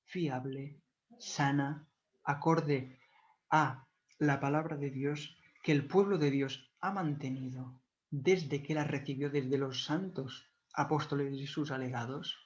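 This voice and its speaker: A man whose first language is Catalan gives a measured, devout explanatory talk, often pausing to correct himself. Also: mid-range voice, steady tone. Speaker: video lecturer. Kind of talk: explanatory talk on church history. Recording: dull lo-fi recording.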